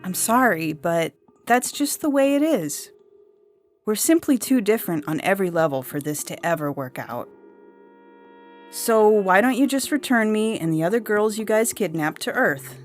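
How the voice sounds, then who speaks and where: Female reader as blunt, chatty young abductee in comic audio fiction; slangy, emphatic, mid-pitched, music underneath. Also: expressive, moderate pace, natural voice. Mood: gently firm. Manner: breezy, rambling, emphatic, slangy. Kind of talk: dramatic monologue reading of a comic story.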